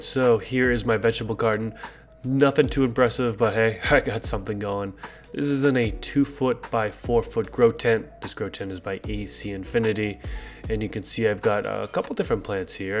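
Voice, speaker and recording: A man with a congested voice, chatting casually and upbeat as he hosts a gardening video and asks viewers for feedback. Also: fairly low pitch. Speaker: gardening channel host.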